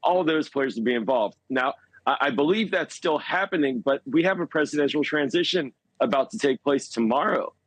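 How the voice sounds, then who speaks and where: A man giving foreign policy analysis as a news interview guest, speaking in a measured, halting way and stressing key words. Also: mid-range voice, lively intonation, no echo, rough recording.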